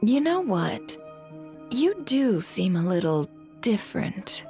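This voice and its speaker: A woman's voice: mid-range voice, sing-song, slow pace, dark tone, soft background music. A woman voicing a cool, shrewd female boss in a video game, dry, teasing and languid. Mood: wry. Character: cool, wry businesswoman boss, shrewd and unhurried. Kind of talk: English video game voice acting.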